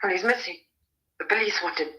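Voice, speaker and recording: An actress playing a sensible, anxious young British farmer's daughter in a radio drama, brisk and earnest. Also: female voice, mid-range voice, bright, forceful tone, natural voice. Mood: urgent, suspicious.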